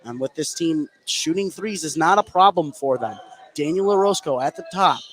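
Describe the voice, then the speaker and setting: A man giving excitable live play-by-play radio commentary on a basketball game, his voice rising on big plays. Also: a little high, normal speed, poor audio. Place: live at a high school basketball gym. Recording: clear background noise, gym ambience.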